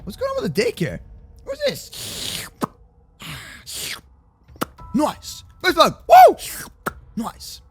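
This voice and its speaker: A young man doing excitable, playful gaming roleplay commentary for children, slangy and exclamatory. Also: extremely high pitch, drawn-out words, long pauses. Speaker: host of a gaming roleplay channel. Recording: faint music behind the voice. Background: faint music.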